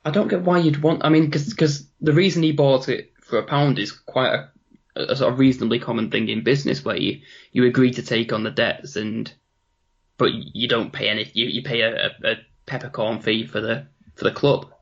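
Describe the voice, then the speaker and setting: Slightly hoarse, chatty podcast co-host. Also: male voice, medium pitch, steady tone, moderate pace, dull audio. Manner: chatty, reflective, often restarts sentences. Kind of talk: casual football podcast chat.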